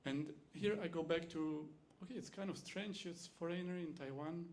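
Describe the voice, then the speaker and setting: A man's voice: a little high, moderate pace, a little mellow, slight background noise. A man from the Czech Republic with a Czech accent, a university lecturer giving a teaching demonstration in English, his second language, with frequent fillers and restarts.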